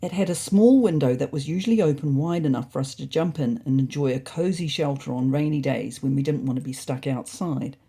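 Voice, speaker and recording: A narrator reading a scripted first-person animal story in an expressive, wryly dramatic way. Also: mid-range voice, brisk, few pauses, husky.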